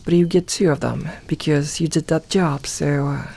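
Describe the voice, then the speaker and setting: A woman voicing a gentle, comforting young werewolf in a soft ASMR roleplay, talking intimately and reassuringly to one listener with light humour. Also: sits low, fairly even intonation, moderate pace, creaky. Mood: explaining, playful. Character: gentle, comforting young female werewolf, playful self-styled lone wolf.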